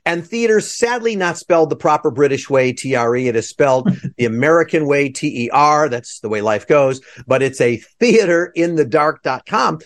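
A man's voice: fairly high pitch, expressive, moderate pace, hardly any pauses. A man hosting an interview podcast over a video call, talking in an effusive, rambling, digressive way. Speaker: podcast host.

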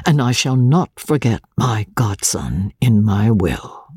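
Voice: medium pitch, very animated, slow pace, a little mellow, slightly rough, dry recording, clean studio sound, heavily acted. A narrator voicing a good-natured, loyal male army captain, placid and reassuring, in a period storybook.

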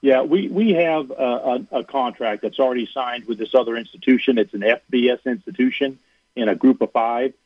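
Guy on a phone line, middle pitch, rambly and earnest, lots of uhs. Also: brisk, no echo. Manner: earnest, rambling with many fillers and restarts. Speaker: athletic director guest. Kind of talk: radio interview on a phone line. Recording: tinny phone line, dry.

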